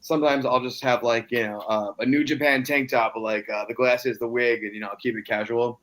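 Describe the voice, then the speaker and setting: A man's voice: mid-range voice, fairly even intonation, brisk, a bit bright. A man in his late thirties, a guest cosplayer telling rambling, easygoing stories in casual podcast chat. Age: 30s.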